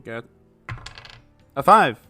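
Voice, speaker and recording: A man chatting casually as a tabletop game player, with an animated, exclaiming manner. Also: very slow. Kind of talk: casual chat. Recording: music behind the voice. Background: background music.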